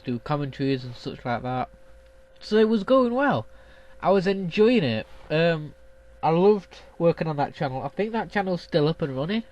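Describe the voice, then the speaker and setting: A man's voice: on the high side, muffled recording. A young man with a stuffy cold, chatting casually and rambling with lots of ums as a gaming vlogger. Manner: rambling, many ums and restarts. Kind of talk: casual chat.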